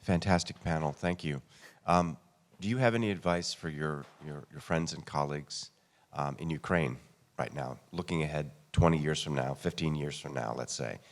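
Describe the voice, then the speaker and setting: A man's voice: extremely low pitch, normal speed. A man asking an unscripted question from the audience, hesitating and repeating words as he goes. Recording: echoey hall with long reverb.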